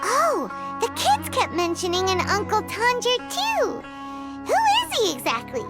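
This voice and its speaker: Tiny chirpy game fairy, very high and exclamatory, unhurried, over music. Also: female voice, huge pitch swings, exaggerated voice. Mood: chatty, curious. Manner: refers to self in third person, exclamatory. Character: small chirpy childlike floating fairy companion. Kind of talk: video game voice acting.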